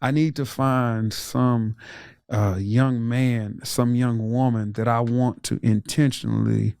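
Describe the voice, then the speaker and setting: Brief: thirties man, reflective pastor, gravelly, laughs easily, dry sound. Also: mid-range voice, fairly even intonation, slow pace, darkish tone, dry recording. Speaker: pastor and guest. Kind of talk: conversational interview.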